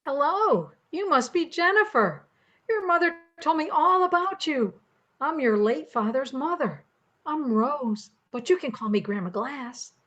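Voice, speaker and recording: A woman's voice: high-pitched, very animated, unhurried, fairly bright tone, full character voice. Older woman playing a grandmother, slightly muffled. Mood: gracious. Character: polite, firm grandmother from New York. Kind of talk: amateur radio play acting. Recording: slightly dull, lo-fi sound.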